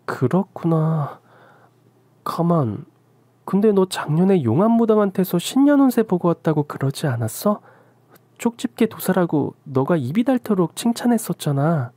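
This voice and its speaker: A woman's voice: lowish voice, big pitch swings, moderate pace, warm tone, no room sound, pitched above the performer's own voice. A woman playing a trusting young wife who talks plainly and earnestly, in a dry recording. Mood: puzzled.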